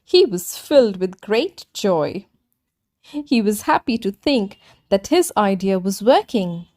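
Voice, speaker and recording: A woman narrating a children's story as a read-aloud, with deliberate, simply phrased delivery. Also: medium pitch, very animated, a little mellow.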